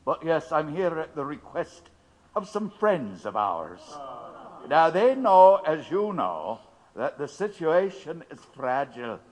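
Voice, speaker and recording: An actor playing a shrewd, cautious male head of a detective agency in an audio drama, measured and emphatic. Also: on the high side, big pitch swings, darkish tone. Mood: cautious, persuasive.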